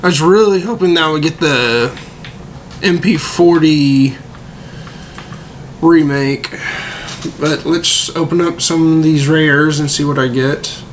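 Young male gaming commentator, slightly hoarse, slow and laid-back, faint noise behind. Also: fairly high pitch. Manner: laid-back, mildly disappointed, drawn-out. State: losing his voice. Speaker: gaming video creator. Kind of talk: casual gameplay commentary.

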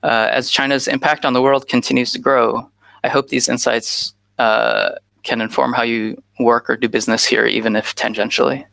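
Gravelly, bright-voiced man on a headset. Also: medium pitch, little pitch movement, normal speed, no echo. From United States. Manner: frequent "uh" fillers, earnest and informal. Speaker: guest speaker. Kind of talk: presentation over a video call.

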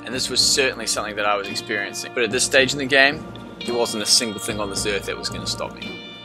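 A man in his twenties with a New Zealand accent, narrating his hike in a calm, reflective voice-over. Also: fairly low pitch, fast. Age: 20s. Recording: voice-over with music playing underneath.

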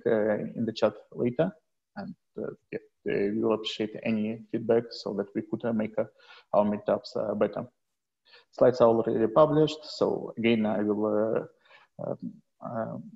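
Low gravelly man opening an online meetup. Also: warm tone. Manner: halting, many filler sounds. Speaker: meetup organizer. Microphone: video call. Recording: dull lo-fi video call audio.

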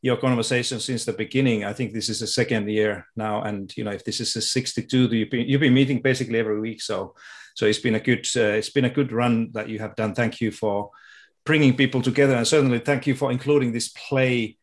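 A middle-aged man from Finland with a Finnish accent, speaking as a guest on a video call, thoughtful and halting with frequent restarts. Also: mid-range voice, fairly even intonation. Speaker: guest education researcher. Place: home room with sofa and guitar. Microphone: video call webcam.

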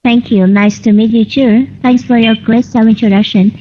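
A woman teaching an online English class, correcting students patiently and clearly. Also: fairly high pitch, fairly even intonation, moderate pace. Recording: lo-fi online call audio.

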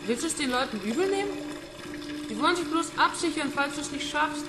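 A woman's voice: high-pitched, animated, a little fast. An actress playing a cold young woman in a crime radio play, mockingly taunting her captive. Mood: cold mockery. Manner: mocking, coolly detached. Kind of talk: radio crime drama acting. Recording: roomy echo, water noise behind.

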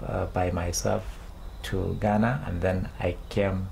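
A man, speaking in a documentary interview, halting as he searches for words in English. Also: sits low, level tone, creaky, a little mellow, a little hiss or hum. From Sudan.

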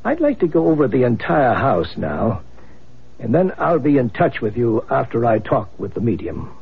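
An actor playing an earnest, confident male paranormal investigator in a vintage radio drama, with a husky voice. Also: medium pitch, wildly swooping pitch, normal speed, noisy background. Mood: earnest, intrigued. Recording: muffled old radio broadcast.

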